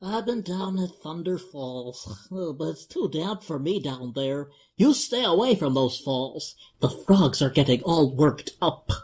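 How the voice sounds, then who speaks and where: A woman's voice: deep voice, expressive, a little slow, few pauses, a little mellow, breathy edge, voice pushed down, heavily acted. A woman voicing a very old, nervous male potoroo, half blind and hard of hearing, grumbling and muttering in a storybook reading. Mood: grumbling, secretive.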